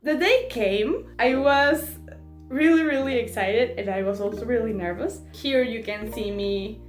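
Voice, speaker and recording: A fairly high-voiced young woman chats and giggles through a casual vlog, tripping over restarts as she goes. Her voice bounces around an echoey room, with soft music playing underneath. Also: unhurried. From Mexico. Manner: chatty and giggly, with many restarts. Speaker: vlogger. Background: faint background music.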